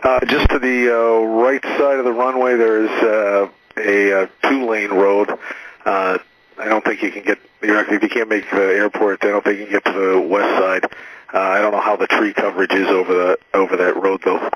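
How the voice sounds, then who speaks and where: A man with a fairly low voice speaks as an air traffic controller over aviation radio. His instructions are procedural and hesitant, broken up by pauses, and the sound is dry with little room on it. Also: little pitch movement, fairly bright tone, dry recording, rough recording. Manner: halting, procedural phrasing with frequent hesitations.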